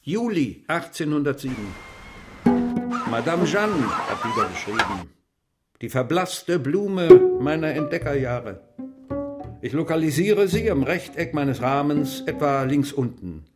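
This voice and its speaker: A man playing a melancholy aged French explorer, brooding haltingly over his memories in a radio play monologue. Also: fairly high pitch, very animated, loud music behind the voice. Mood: musing.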